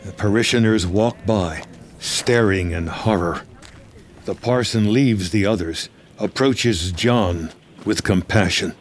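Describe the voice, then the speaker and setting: A man narrating a screenplay in the present tense with measured, dramatic storytelling. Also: fairly low pitch, a little hiss or hum.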